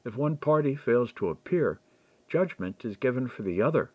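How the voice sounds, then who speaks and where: A man voicing a scheming medieval noblewoman in an audiobook, smooth, coaxing and persuasive. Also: medium pitch, lively intonation, normal speed, no room sound, lo-fi sound, natural voice. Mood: coaxing, sly.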